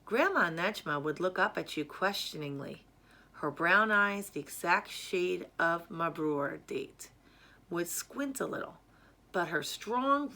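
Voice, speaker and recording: Female storyteller for children's read-aloud. Bright tone, careful measured delivery, expressive. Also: fairly low pitch. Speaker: storyteller reading a picture book.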